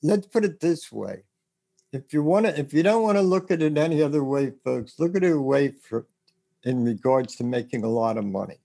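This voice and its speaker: A man hosting a podcast about the music business, rambling and animated as he gives advice, with a rough voice. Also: mid-range voice, a little fast. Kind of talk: casual advice chat.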